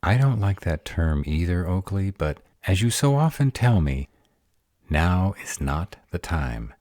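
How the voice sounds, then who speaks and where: A man playing a protective, grumpy were-dragon boyfriend in a fantasy audio drama, gruff but deferential to authority. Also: low voice, very animated, a little slow, a little mellow, dry recording, studio quality. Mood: protective, wary.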